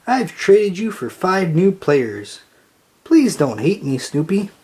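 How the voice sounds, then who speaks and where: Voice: mid-range voice, sing-song, slow, darkish tone, husky, pitched above the performer's own voice, full character voice. A narrator voicing an earnest, anxious boy who manages a baseball team in a children's storybook. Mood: troubled.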